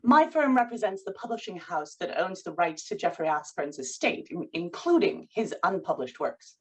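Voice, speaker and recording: A woman's voice: fairly low pitch, animated, moderate pace, husky, rough recording. A woman playing a poised, romantic professional, patient but pointed, in a screenplay table read. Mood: bright, composed.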